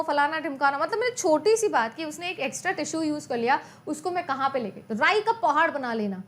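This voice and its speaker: A woman teaching an exam-prep lecture in a chatty way, often repeating her points and asking her students questions as she goes. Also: high-pitched. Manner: mixes Hindi and English terms.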